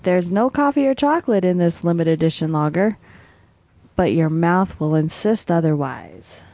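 A woman's voice: on the low side, lively intonation, moderate pace, mellow, no echo. A woman co-hosting a podcast, chatting casually in a playful, teasing way and giggling often. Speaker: podcast co-host. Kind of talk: casual chat.

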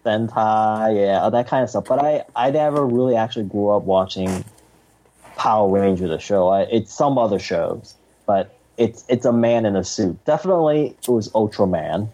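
A man's voice: fairly low pitch, normal speed, mellow, low-quality recording. A man from Hong Kong, a podcast guest in casual chat, talking hesitantly with many fillers and restarts.

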